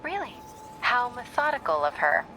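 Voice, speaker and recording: A woman's voice: on the high side, very animated, normal speed, bright, forceful tone, slight background noise, low-quality recording. An actress playing a cool, self-possessed young woman in a superhero video game, with an American accent. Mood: dry, thoughtful.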